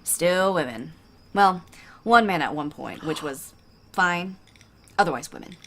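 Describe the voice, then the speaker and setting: A woman's voice: on the low side, expressive, unhurried, fairly bright tone, a little hiss or hum. An actress playing a lovelorn, sardonic woman diplomat, conversational and hesitant, in an audio drama. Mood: teasing. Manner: hesitant, conversational, self-correcting.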